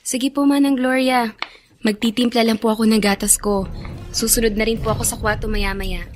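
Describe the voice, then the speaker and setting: A woman's voice: fairly high pitch, steady tone, moderate pace, faint music behind, natural voice. A woman playing a meek, polite, plaintive young girl in a Filipino radio drama. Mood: polite, calm.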